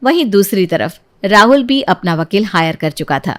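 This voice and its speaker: Female storyteller, medium pitch, scripted narration. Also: animated, normal speed, a bit bright.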